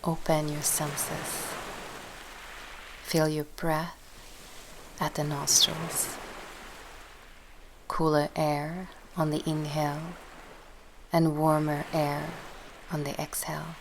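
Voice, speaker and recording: A woman guides a relaxation session in a low, even voice with very little rise and fall. She speaks softly and soothingly, leaving long pauses between instructions. A steady wash of sea waves runs behind her. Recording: steady ocean-wave noise behind voice. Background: sound of sea waves.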